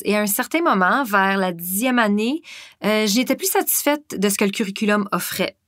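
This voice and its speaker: Anglophone woman from Prince Edward Island, measured and articulate, dry close sound. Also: mid-range voice, a bit bright, no echo. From Prince Edward Island, Canada. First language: English. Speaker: interviewee. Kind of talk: prepared testimony.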